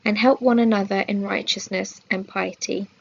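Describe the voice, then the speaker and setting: Creaky-voiced woman reading a religious study. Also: medium pitch, fairly even intonation, moderate pace, muffled recording. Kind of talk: scripted religious lesson read aloud.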